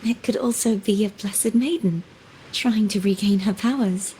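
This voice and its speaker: An actress playing a composed, enigmatic young woman in a video game, speaking in a measured, formal and softly mysterious way. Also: medium pitch, slight background noise, natural voice, low-quality recording. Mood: poised, musing. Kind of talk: video game character dialogue.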